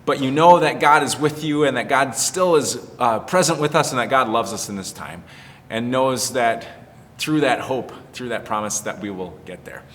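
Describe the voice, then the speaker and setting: A man's voice: mid-range voice, normal speed, a bit bright, slight background noise. A man speaking as a pastor in a casual children's storytime, explaining things simply. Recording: reverberant, echoing room.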